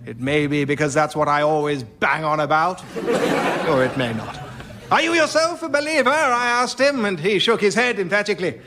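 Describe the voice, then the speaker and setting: An actor playing a pompous, verbose British club bore, telling a mock-Victorian ghost story in radio comedy. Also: male voice, sits high, expressive, normal speed. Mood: pompous, droll. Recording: noisy live audience recording.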